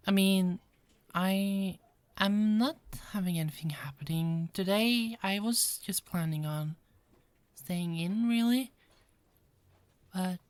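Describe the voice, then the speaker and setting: Woman acting a frazzled detective, slow and stammering. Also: lowish voice, no room sound. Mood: shy, pleased. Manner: hesitant, stammering, repeats words. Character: frazzled, apologetic female police detective, exasperated but friendly. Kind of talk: ASMR roleplay, one-sided dialogue.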